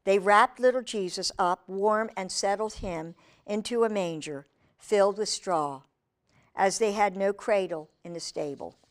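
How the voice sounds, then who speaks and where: A woman reading aloud to young children in a deliberate, expressive storytime manner, speaking directly to her listeners. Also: medium pitch.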